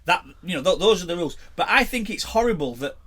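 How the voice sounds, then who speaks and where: Chatty blunt man, fairly high. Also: lively intonation, fast talker, pushed and bright. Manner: chatty, blunt, repeats words when starting sentences. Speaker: podcast co-host, tattooist. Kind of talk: casual chat.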